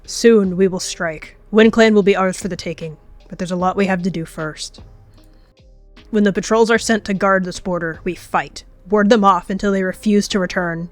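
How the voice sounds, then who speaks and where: A woman's voice: mid-range voice, steady tone, normal speed, soft background music, natural voice. A woman voicing a scheming, menacing cat who leads a gang, declaiming orders in an online roleplay game. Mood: menacing, rallying. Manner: commanding, declamatory.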